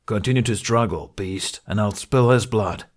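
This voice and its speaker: Guy doing a smug viking bad guy for an audiobook, kinda low voice, scoffing, pompous, threatening. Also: moderate pace, close to an everyday voice. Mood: menacing, smug. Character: scornful, self-righteous male Viking villain with an axe. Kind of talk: audiobook character acting.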